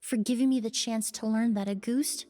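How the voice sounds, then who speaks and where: A woman voicing a calm, reflective female perfumer in a video game, speaking in a soft, thoughtful, measured way. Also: a little high, faint music behind, natural voice. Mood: grateful. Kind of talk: game voice acting.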